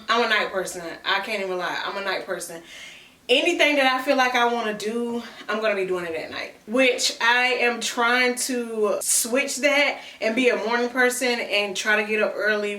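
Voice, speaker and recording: Woman, 30s, bright-voiced casual vlogger, talks from a few feet off in an echoey room. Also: medium pitch, far from the mic. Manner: chatty, answers read-out questions, y'all and girl slang. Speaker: content creator. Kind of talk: casual Q&A chat to camera. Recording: echoey room, mic set back.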